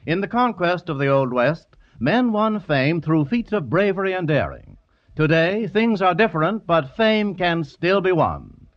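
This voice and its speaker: An American man reading a scripted mid-century radio advertisement as announcer, with formal, polished and emphatic phrasing. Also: fairly high pitch. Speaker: commercial announcer. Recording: dull old radio broadcast.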